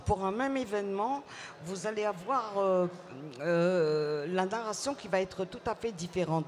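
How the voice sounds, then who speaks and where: A woman author in an interview, answering in a reflective, measured way with frequent hesitations and repeated words. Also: lowish voice, a little mellow. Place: book fair at a city hall.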